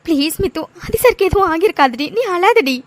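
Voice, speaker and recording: Woman as loyal young best friend, very high voice, dry close sound, earnest, coaxing, audio drama. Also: lively intonation, fast, dry recording. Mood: consoling. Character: loyal young female friend soothing a crying girl. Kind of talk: melodramatic audio serial acting.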